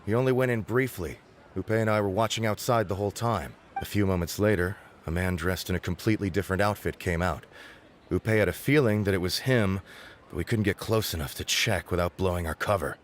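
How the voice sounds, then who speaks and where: A man's voice: low voice, a little fast, slight background noise, natural voice. An actor playing a dutiful male subordinate in a game, reporting earnestly and methodically to his superior. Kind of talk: game dialogue.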